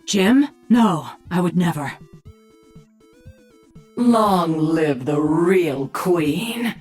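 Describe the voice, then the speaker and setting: A woman playing a tough, commanding, sardonic warrior queen of an alien swarm in a video game, with a husky voice. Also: on the low side, lively intonation, very slow, darkish tone, faint music behind, heavily acted. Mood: gloating, taunting. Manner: commanding, dry sarcasm. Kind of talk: video game character acting.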